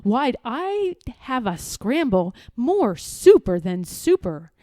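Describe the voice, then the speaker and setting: A woman reading a children's story aloud in a theatrical, rhythmic way, as expressive storytelling. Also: fairly high pitch, slow pace, no echo, studio quality. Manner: theatrical, rhythmic rhyming delivery. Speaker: storybook reader.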